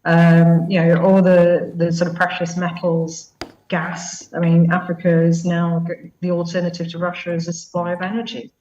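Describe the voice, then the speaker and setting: A woman speaking as a guest commentator on a news panel, measured and thoughtful with many fillers. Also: lowish voice, monotone, warm tone. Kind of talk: panel interview answer. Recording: dull, echoey sound from a distant mic.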